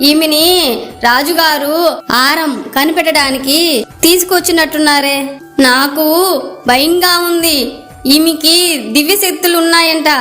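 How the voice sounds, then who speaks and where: A woman's voice: sits high, bright, forceful tone. A woman voicing a guilty, frightened young maidservant in a moral story cartoon, urgent and pleading. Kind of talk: animated moral story character dialogue. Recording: background music.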